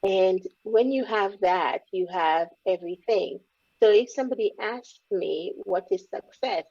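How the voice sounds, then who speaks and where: A woman's voice: medium pitch, a little slow, darkish tone, husky. A woman, speaking reflectively and openly as an interview guest. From Caribbean, born in Grenada, raised in Trinidad. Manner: reflective, speaks openly about hard memories. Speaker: guest, empowerment coach. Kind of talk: candid interview conversation. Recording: dull lo-fi audio.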